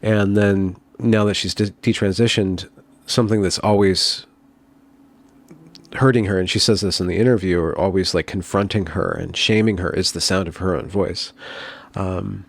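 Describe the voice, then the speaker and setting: A man hosting an interview over a video call, asking questions in a measured way while searching for words. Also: deep voice, steady tone, creaky. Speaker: host.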